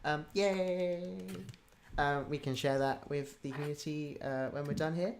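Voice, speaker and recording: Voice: mid-range voice, steady tone, unhurried, slight background noise. Casting: livestream host, mid-range voice, informal, chatty, enthusiastic. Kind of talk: casual livestream chat.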